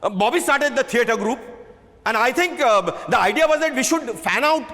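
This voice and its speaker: A man's voice: extremely high pitch, lively intonation, moderate pace, pushed and bright, exaggerated voice. A man playing a flustered male theatre group member who stammers and blurts objections in a dramatic reading. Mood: flustered, objecting. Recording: reverberant hall.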